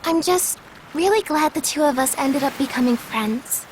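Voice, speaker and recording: A woman's voice: high-pitched, normal speed, a little hiss or hum, close to an everyday voice. A woman playing an earnest, kindhearted young woman in a video game. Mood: sincere, gentle. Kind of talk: scripted video game voice acting.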